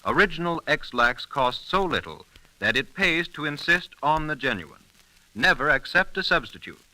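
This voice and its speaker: A male announcer from vintage 1930s radio reads scripted copy with emphatic polish. His voice is very bright and forceful, with lively rises and falls. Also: a little high, normal speed, dry recording, rough recording. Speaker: announcer and narrator.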